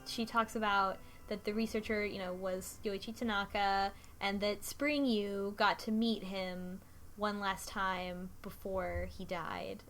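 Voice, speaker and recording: A woman co-hosting a talk show, casually retelling a story in a halting, conversational way. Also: medium pitch, a bit bright. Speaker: podcast co-host. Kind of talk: casual recap discussion of a story.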